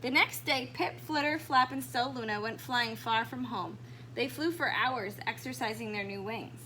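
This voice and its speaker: A woman reading a children's storybook aloud in an expressive storytelling style. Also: fairly high pitch, moderate pace, a little hiss or hum, low-quality recording. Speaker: storybook reader.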